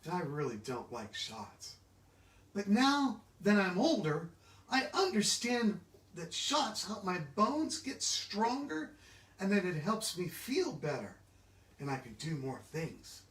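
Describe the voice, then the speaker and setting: A man giving an animated, expressive children's storybook read-aloud, engaging his listeners directly. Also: high voice, slow. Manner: expressive, interactive, asks listeners questions. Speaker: children's book reader. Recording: echoey room, distant mic.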